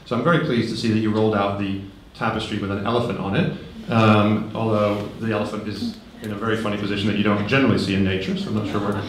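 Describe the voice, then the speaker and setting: A man giving a relaxed conference talk, conversational, with frequent ums and light humor, into a handheld microphone. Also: fairly low pitch, quick pace, few pauses, slight background noise. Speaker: conference speaker. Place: large conference hall. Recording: very echoey hall.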